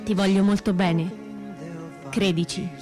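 An actress playing an earnest, resolute young woman militant in a radio theatre monologue, speaking in measured, declarative short phrases. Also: mid-range voice, fairly even intonation, close to an everyday voice. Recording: music under the voice.

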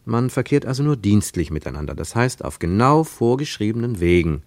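A man narrating a literary radio play in a reflective, rhetorical manner with deliberate emphasis. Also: lowish voice, sing-song, a little mellow, no echo. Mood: resigned, explanatory. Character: reflective literary narrator of a postwar travel story.

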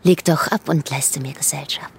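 An actress playing a seductive, brazen woman of the criminal underworld in a German radio drama, cheeky and given to breathy murmurs. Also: sits low, normal speed, heavily acted. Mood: seductive, flirty.

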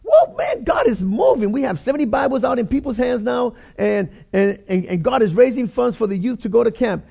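A man voicing an overjoyed pastor in a joke, exclaiming loudly. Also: very high voice, sing-song, a little fast, vocal fry, few pauses, breathy edge, pitched above the performer's own voice, full character voice. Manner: loud, exclaiming.

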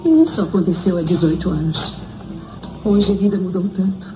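A woman's voice: lowish voice, normal speed, heavy background noise, lightly acted. An actress playing a wistful grown woman in an old-time radio soap. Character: wistful grown woman recalling happy convent-school days. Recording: dull vintage radio drama recording. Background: loud music.

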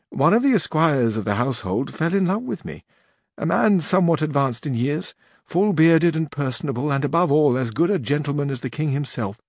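A man's voice: slightly rough, no echo, clean studio sound. A man reading the part of an elderly widowed duenna who tells her story at length and turns tearful. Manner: long-winded, earnest, rises higher when weeping. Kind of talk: audiobook narration.

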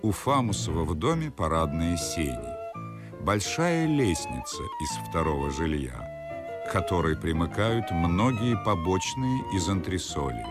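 A man narrating stage directions for a radio play in a measured, scripted way, setting the scene. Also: deep voice, sing-song. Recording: loud music behind the voice. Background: music.

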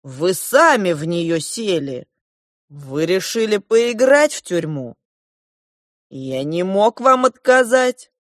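A woman's voice: on the low side, lively intonation, slow, no room sound, clean studio sound. A woman voicing a boastful, imperious elder prince in an audiobook, with grand exclamatory delivery. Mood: indignant.

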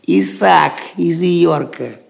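An actor playing a cheeky jester in an audio drama, with a creaky voice and a teasing, familiar manner. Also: male voice, a little high, slow pace, full character voice. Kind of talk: theatrical audio drama acting.

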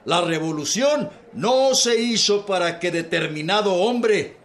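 An actor playing the orator who opens a revolutionary convention, speaking in a slow, declamatory way in a radio drama. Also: male voice, high voice, expressive. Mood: rousing.

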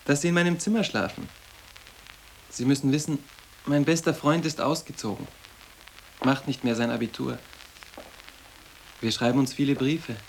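A young man playing a sarcastic teenage boarding-school boy in a radio drama, curt and offhand, with a gravelly edge. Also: medium pitch, fairly even intonation, moderate pace, pauses often, slight background noise, close to an everyday voice, poor audio. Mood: offhand, reserved.

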